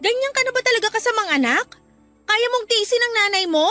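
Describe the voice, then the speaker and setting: An actress playing a dutiful, distressed young wife in a Filipino radio drama, emotional and pleading. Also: female voice, very high voice, expressive, fairly quick, bright, forceful tone, no room sound, soft background music, full character voice. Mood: reproachful.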